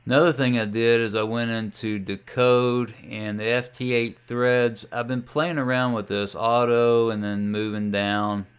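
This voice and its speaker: A man giving a casual, folksy tutorial as a ham radio hobbyist, talking through a software demo in an unhurried way. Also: mid-range voice, steady tone, smooth. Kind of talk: casual screen-share tutorial.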